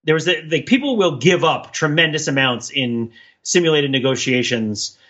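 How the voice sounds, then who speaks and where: A man's voice: a little high, normal speed, fairly bright tone, highs cut off. A man co-hosting a podcast in casual chat, discursive, thinking aloud and often checking his points with "right?". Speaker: podcast co-host.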